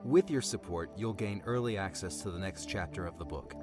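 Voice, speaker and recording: A man's voice: low voice, a little fast. A man, a voice actor, giving an upbeat promotional sign-off. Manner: upbeat, animated appeal. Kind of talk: promotional outro. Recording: music under the voice.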